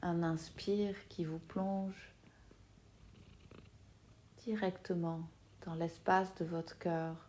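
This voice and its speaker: Very slow, mellow woman guiding meditation. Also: on the low side, fairly even intonation, a little hiss or hum. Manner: soothing, soft-spoken with long pauses. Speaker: yoga nidra teacher guiding a relaxation. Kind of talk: guided meditation.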